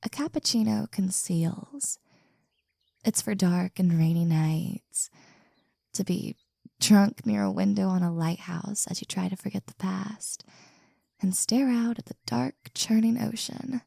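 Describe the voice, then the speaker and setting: Woman whispering slow and teasing right into the mic, warm husky voice with some fry. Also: medium pitch, dry recording, clean studio sound. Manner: soft, teasing, often whispered. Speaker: audio roleplay performer. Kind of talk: intimate scripted audio roleplay.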